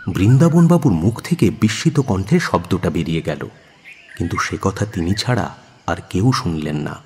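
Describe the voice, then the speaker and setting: A husky male narrator with a low voice and lively, swinging intonation. He tells a scripted story dramatically, with long reverb around him. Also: normal speed, slight background noise.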